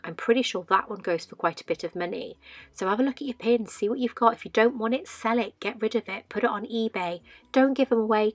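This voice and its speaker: A woman's voice: medium pitch, a bit bright, no room sound. A woman in her thirties giving a casual, rambling voice-over, often repeating herself for emphasis. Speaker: vlogger narrating a collection clean-up. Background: music.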